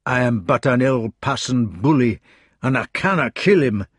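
A man's voice: medium pitch, expressive, normal speed, slightly rough, no room sound, clean studio sound. A man voicing a wounded, tearful Scottish knight who speaks in strained, despairing tones. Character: wounded, weeping burly male Scottish knight.

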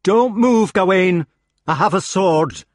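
A man's voice: medium pitch, very animated, takes it slowly, breathy edge, dry recording, studio quality, full character voice. A man voicing a fat, defiant, nervously snarling knight in a storybook reading. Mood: threatening, frightened.

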